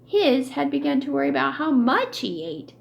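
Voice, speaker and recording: A woman reading a children's story aloud in an expressive, emphatic, deliberate way. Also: high-pitched, creaky. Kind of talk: expressive read-aloud narration with acted dialogue.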